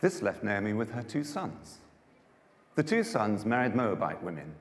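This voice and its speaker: A man dramatises scripture in a large, very reverberant church hall. His fairly low voice swings widely as he delivers each phrase deliberately, with theatrical pauses. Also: sing-song, slight background noise. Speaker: Bible story reader. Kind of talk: dramatised scripture storytelling to a congregation.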